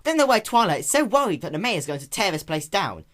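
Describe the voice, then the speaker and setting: A man's voice: high voice, very animated, fast, a bit bright, voice pushed up. A man voicing a stubborn, boastful young male dragon in a dramatic reading of a cartoon fan story. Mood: smug, dreamy.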